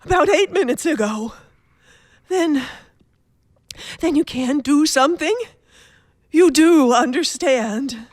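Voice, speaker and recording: An actress playing a nervous, complaining invalid wife in a radio play, haughty, fretful and insistent. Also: female voice, high voice, animated, takes it slowly, pauses often, dry recording, clean studio sound. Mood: urgent, insistent.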